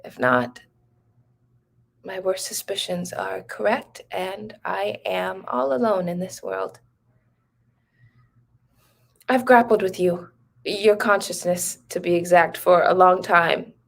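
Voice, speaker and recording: An actress playing a troubled grown woman who reads her farewell letter slowly and somberly. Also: medium pitch, creaky. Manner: slow, somber letter reading.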